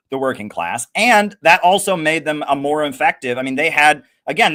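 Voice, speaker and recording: A man's voice: mid-range voice, a bit bright. A man speaking as a podcast guest, giving emphatic off-the-cuff political commentary and repeating phrases as he explains.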